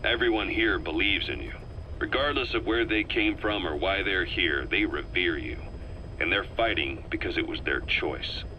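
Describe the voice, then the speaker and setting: An actor voicing a worldly, self-assured military interrogator in a video game, wry and loyal, telling things in a measured, explanatory way. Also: male voice, low-pitched, expressive, pushed and bright, a little hiss or hum, rough recording. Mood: earnest, assured. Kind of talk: scripted video game voice acting.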